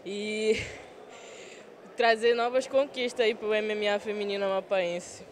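A woman's voice: medium pitch, level tone, measured pace. A woman in her twenties, an athlete, giving plain, earnest answers in an interview in an airport hall. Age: 20s. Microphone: handheld reporter mic.

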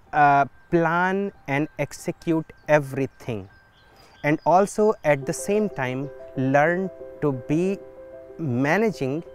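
Man in his thirties, documentary interview, unhurried with many pauses, clear voice, faint music behind. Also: on the high side. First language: Hindi. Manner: thoughtful, measured, with frequent pauses and fillers. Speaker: solar physics researcher. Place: outdoors in an institute garden.

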